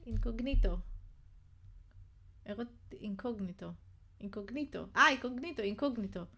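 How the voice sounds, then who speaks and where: A young woman with a Greek accent, hosting a relaxed live stream and chatting playfully with viewers while she works on a website. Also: medium pitch, moderate pace. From Greece. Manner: chatty and playful, talks to chat viewers by name, thinks aloud while working. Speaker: livestream host.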